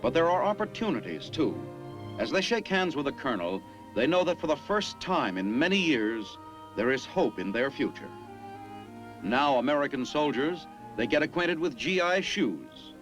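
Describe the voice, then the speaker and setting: A man's voice: on the high side, very animated, moderate pace, fairly bright tone, soft background music, poor audio. A man giving scripted narration for an army documentary in an earnest, declamatory way. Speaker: newsreel narrator.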